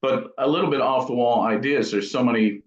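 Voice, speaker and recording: A man's voice: medium pitch, brisk. A man giving casual interview answers as a guest craftsman, thinking aloud and correcting himself as he goes. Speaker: guitar amp builder being interviewed. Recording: roomy, dull lo-fi sound.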